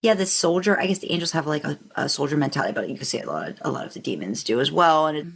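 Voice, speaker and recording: Casting: woman podcast host, lowish pitch, casual and conversational, loosely wandering phrasing. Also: lively intonation, very fast, creaky, breathy edge.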